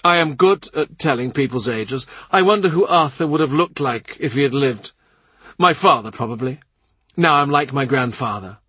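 A troubled, brooding king, voiced by a man in an audiobook, carried higher and fuller than the narration. The tone is husky, set close in a dry booth. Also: moderate pace, pushed and bright, no echo. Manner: brooding, troubled, speaks at length. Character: weary anxious middle-aged male king.

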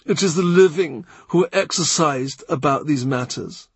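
A man's voice: on the high side, moderate pace, husky, dry recording, pitched above the performer's own voice. A man voicing a learned churchman who corrects a king in a precise, faintly impatient way. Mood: lecturing. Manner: lecturing, precise.

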